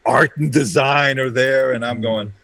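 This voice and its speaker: A brash middle-aged man from Romania, raised in Brooklyn, spins joking stories with a quick, wisecracking edge. His voice is at a middle pitch and sounds dry and close, with no room echo. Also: steady tone, gravelly, pushed and bright. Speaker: interview guest, ad creative director. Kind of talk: casual storytelling.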